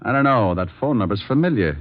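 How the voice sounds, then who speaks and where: A man's voice: on the low side, lively intonation, fast. A man playing a flippant, wisecracking private detective in a 1940s radio drama. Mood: brisk, wry. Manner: wry, flippant, playful. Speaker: lead actor and narrator. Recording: muffled old radio broadcast.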